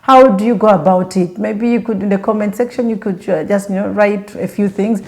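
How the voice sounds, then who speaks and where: A woman's voice: medium pitch, fairly even intonation, brisk, a little mellow. A young Ugandan woman with a Ugandan accent, vlogging in casual chat to camera and rambling through restarts and fillers while she asks her viewers direct questions.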